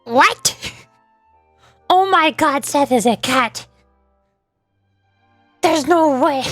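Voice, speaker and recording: A woman's voice: high-pitched, expressive, very slow, fairly bright tone, no room sound, soft background music. A woman streaming in a casual chat style, reacting with exclamations and breaking off her own sentences. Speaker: VTuber streamer. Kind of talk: casual livestream chat with game voice-acting.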